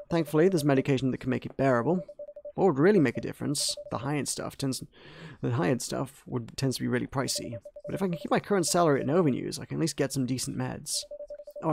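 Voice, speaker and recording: Dude reading a tired worried coworker, quiet, kinda gravelly. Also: male voice, medium pitch, slight background noise.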